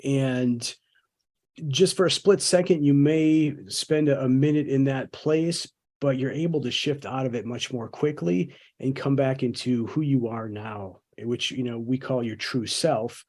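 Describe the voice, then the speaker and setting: A man's voice: mid-range voice, unhurried, darkish tone. A man hosting a podcast, reflective, often stammering and repeating words as he thinks aloud. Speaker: podcast host. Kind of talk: conversational podcast interview.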